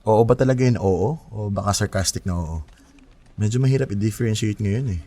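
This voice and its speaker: A man's voice: lowish voice, fairly quick, warm tone, slightly rough. A man acting an earnest, attentive young boyfriend in a Filipino romance audio drama, casually mixing languages with a Filipino accent. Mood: concerned. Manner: earnest, casual, mixes English and Tagalog.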